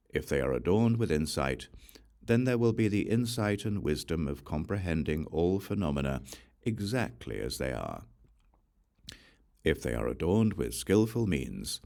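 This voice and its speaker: A man with a very low voice narrates scripture as an audiobook reader. His intonation rises and falls widely from phrase to phrase, with a gravelly creak underneath. The delivery stays solemn and measured. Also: a little mellow.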